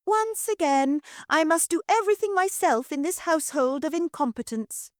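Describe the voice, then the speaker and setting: A woman's voice: high-pitched, animated, normal speed, no echo, studio quality, pitched above the performer's own voice. A woman voicing a domineering, snobbish middle-aged mother who shrieks and speaks in a haughty, affected way. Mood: shrieking.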